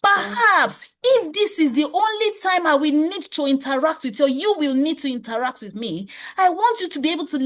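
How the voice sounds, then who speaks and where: A woman's voice: high-pitched, fairly bright tone. A woman leading a motivational money class, speaking emphatically and preachily with many rhetorical questions. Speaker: wealth coach.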